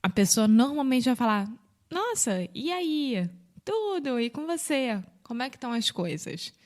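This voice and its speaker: A woman with a Rio de Janeiro accent, co-hosting a language podcast and patiently explaining everyday greetings, acting out examples with bright jumps in pitch. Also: big pitch swings, normal speed. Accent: Rio de Janeiro Portuguese. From Brazil. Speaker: podcast co-host teaching Portuguese. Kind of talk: casual teaching chat.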